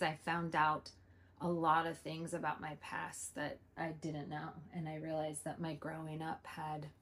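A woman in her forties, co-hosting a relaxed podcast with her son. Her voice sits a bit low for a woman. She speaks in an open, earnest and reflective way. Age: 40s. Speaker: podcast co-host. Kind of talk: casual conversation.

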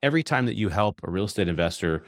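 Very deep, rambling male host. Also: expressive, dry recording, clean studio sound. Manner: rambling, halting, with many restarts. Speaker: podcast co-host. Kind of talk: podcast interviewing.

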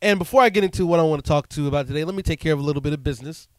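A man's voice: on the high side, rapid-fire, dry recording. A male podcast host and DJ with a stuffed-up nose, talking casually and loosely with lots of fillers in a studio. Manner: relaxed and chatty, frequent "um" and "man". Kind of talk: casual solo podcast talk.

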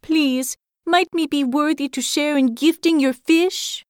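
A woman's voice: sits high, fairly even intonation, measured pace, no echo, studio quality, pitched above the performer's own voice. A woman voicing a tiny, timid but brave male hedgehog who speaks in quaint broken grammar. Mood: pleading.

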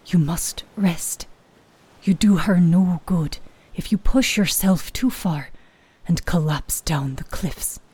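A woman's voice: lowish voice, takes it slowly, warm tone, husky. A woman voicing an exhausted but steadfast woman fighter in a story podcast. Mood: weary, self-urging.

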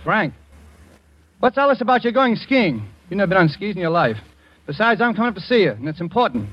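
Brisk engineer, high-pitched voice. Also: male voice, sing-song, slightly rough, no room sound, heavily acted. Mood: puzzled, brisk. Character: brisk, puzzled male engineer. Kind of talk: 1940s radio acting. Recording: dull, hissy 1940s radio recording.

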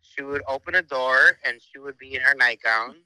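Man on video call, very bright, smooth voice, flirty teasing banter, dry sound. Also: medium pitch, moderate pace, no echo, rough recording. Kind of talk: casual banter.

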